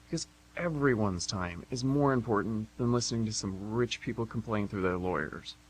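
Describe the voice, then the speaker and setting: A man's voice: on the low side, normal speed, natural voice, low-quality recording. A man playing a cocky, flippant young unemployed slacker in an amateur radio play, with a sardonic delivery. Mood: scornful. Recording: amateur radio, faint background hum.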